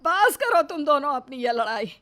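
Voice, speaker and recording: A woman's voice: high-pitched, very animated, moderate pace, fairly bright tone, pitched above the performer's own voice. A woman voicing a stern, wounded older widowed mother in an acted storybook, firm and admonishing.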